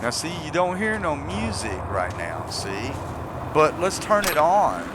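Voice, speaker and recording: A man's voice: on the high side, very animated, unhurried, heavy background noise. A man giving a casual step-by-step video game tutorial, often checking in with the listener as he explains. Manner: repeatedly checks in with "see" and "okay". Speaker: gamer making a tutorial video. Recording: loud music playing behind the voice. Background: game music and vehicle sounds.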